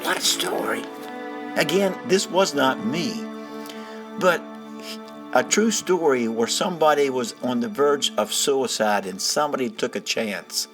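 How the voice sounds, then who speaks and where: A man with a mid-range voice speaks earnestly, like a storyteller giving an informal sermon. His wording is folksy and he pauses for emphasis. Music plays softly behind him. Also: mid-range voice, lively intonation, a crowd in the background. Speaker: storyteller and preacher. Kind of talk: dramatized first-person storytelling turning to informal sermon. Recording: music bed under the voice.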